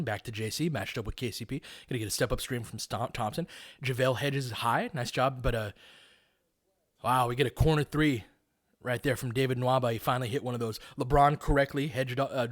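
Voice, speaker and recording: A man's voice: medium pitch, steady tone, a little fast. A man calling live basketball play-by-play in a casual, conversational, analytical way. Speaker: play-by-play host.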